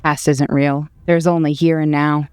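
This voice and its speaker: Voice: mid-range voice, level tone, normal speed, a little mellow, clear, resonant tone, dry recording, faint music behind, close to an everyday voice. An actor playing a hero's cocky, impatient inner voice in a video game, blunt, defiant and eager for a fight. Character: cocky, impatient, battle-hungry inner voice of a hero.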